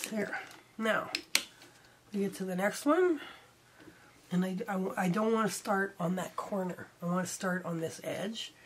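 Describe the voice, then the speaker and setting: A middle-aged woman hosting a quilting lesson, chatting warmly while she sews, her voice starting to go hoarse. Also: medium pitch, noisy background. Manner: chatty, homey, talks while sewing. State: voice going hoarse. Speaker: quilting channel host. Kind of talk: casual sewing tutorial.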